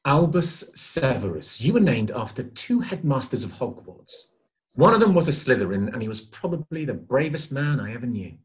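A man's voice: mid-range voice, very animated, moderate pace, lightly acted. A middle-aged actor playing an earnest, reassuring wizard father in a stage drama table read on a video call. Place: room at home, video call, webcam.

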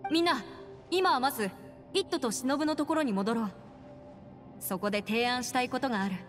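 A woman's voice: high voice, moderate pace, a bit bright. A woman playing an earnest, clever young female adviser in a video game, articulate and resolute as she explains. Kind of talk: Japanese video game voice acting. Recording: long reverb, music behind.

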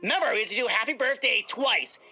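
Woman playing an excitable, high-pitched animatronic fox. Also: fast, breathy edge, soft background music, full character voice. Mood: upbeat. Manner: flustered, dramatic, stammering denials. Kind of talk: improvised Minecraft roleplay.

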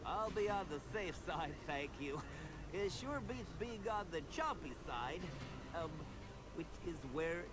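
A man's voice: high-pitched, lively intonation, unhurried, noisy background. A man voicing a timid, brainy little animal villager in a cartoon video game, fussy, nervous and wordy. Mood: nervous encouragement. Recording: game music behind the voice.

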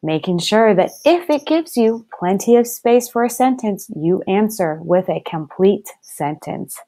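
A woman speaks as a first-grade teacher leading a read-aloud lesson. Her pace is slow and deliberate, with each word carefully enunciated for young listeners. Her voice sits at a middling pitch for a woman. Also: animated, mellow. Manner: deliberate, clearly enunciated, instructive.

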